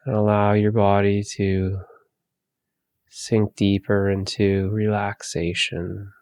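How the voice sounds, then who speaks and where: A man guides a meditation in a fairly deep voice, recorded very dry and close. He speaks very slowly and gently, leaving long pauses between phrases. His manner is calm and soothing throughout. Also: smooth, no echo.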